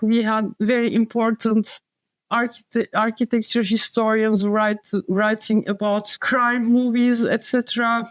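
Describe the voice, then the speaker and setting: A woman's voice: a little high, dry recording. A woman with a Turkish accent, a designer and publisher talking as an interview guest, hesitant and circling back to her point. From Turkey. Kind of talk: casual interview conversation.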